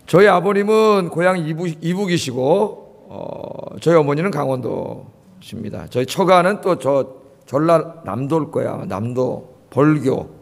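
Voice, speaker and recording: Chatty pastor guy in his 50s, fairly high voice, keeps quizzing the congregation, church echo. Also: animated, a little slow, vocal fry. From Seoul, South Korea. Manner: conversational, asks the congregation questions. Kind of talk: sermon. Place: church sanctuary. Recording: long hall reverb.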